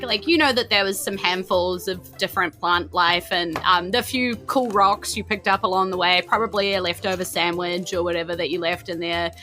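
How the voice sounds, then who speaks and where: A woman's voice: medium pitch, bright, forceful tone. A woman with a New Zealand accent casually improvising narration as the game master of a tabletop game. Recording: music playing behind. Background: background music.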